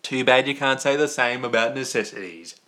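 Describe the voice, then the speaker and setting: Bright-voiced, rambling Australian man. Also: mid-range voice, fairly quick. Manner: rambling, jokey, self-interrupting. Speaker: livestream host. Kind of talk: casual livestream chat.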